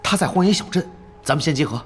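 An actor playing a scheming male guild lackey who flatters his boss in hushed, half-whispered tones in an audio drama. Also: a little high, quick pace, soft background music, natural voice. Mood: fawning.

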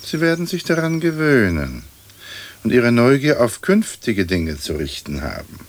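An actor playing a dead aristocratic lord in a radio play, speaking formally in measured, old-fashioned, dry phrases. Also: male voice, mid-range voice, big pitch swings. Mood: composed. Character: aristocratic dead English lord, dignified, dry, long-winded.

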